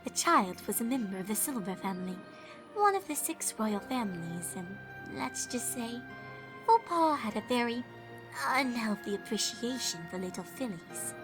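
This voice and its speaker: A woman's voice: on the high side, lively intonation, moderate pace, over music, lightly acted. A woman voicing a refined, dramatic female unicorn fashionista telling a spooky tale in a fan fiction reading. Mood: storytelling, dramatic. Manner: theatrical, genteel, calls others darling.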